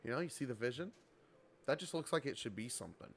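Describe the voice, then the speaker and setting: A man's voice: mid-range voice, expressive, quick pace, slight background noise. A man of twenty-seven chatting casually on a game livestream, bantering playfully and swearing freely. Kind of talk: casual livestream chat while gaming.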